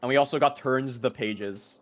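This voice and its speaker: A man's voice: medium pitch, moderate pace. A man chatting casually as a co-streamer on a game stream, chiming in with short remarks. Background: music.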